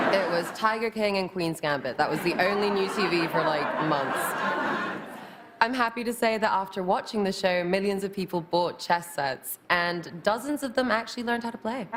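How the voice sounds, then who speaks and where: A woman's voice: medium pitch, heavy background noise. A woman who grew up in Argentina and London, with a British accent and Spanish as her first language, hosting a comedy monologue with dry, self-mocking delivery. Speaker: guest host giving a monologue. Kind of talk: stand-up monologue. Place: large theater stage before a live audience. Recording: loud live studio audience.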